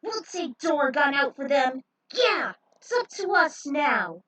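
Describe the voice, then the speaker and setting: A woman acts out a defiant young hero in a dramatic battle tale. The voice is pushed high and bright. Each line comes out as an emphatic exclamation. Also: very animated, slow, far from the mic, heavily acted. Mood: determined. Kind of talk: amateur dramatic character reading.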